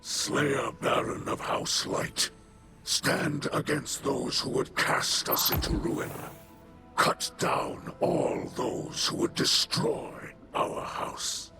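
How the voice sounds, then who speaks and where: Breathy, solemn old alien leader. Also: male voice, fairly high pitch, sing-song, full character voice. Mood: resolute, solemn. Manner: slow, formal, oratorical. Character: weary, solemn old male alien leader, noble and grave. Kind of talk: dramatic video game cutscene acting. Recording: loud music under the voice.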